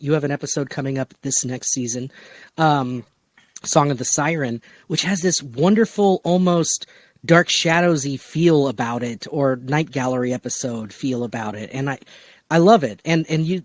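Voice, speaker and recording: A man's voice: on the high side, no echo. A man hosting a casual podcast discussion, speaking with an enthusiastic, emphatic manner. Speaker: podcast host and producer.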